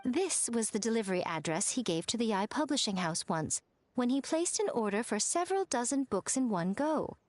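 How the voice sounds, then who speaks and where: A woman's voice: a little high, sing-song, moderate pace, dry recording, soft background music. A woman voicing a sly, teasing, elegant shrine lady in a video game, playful and poised in her delivery. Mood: wry. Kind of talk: animated video game voice acting.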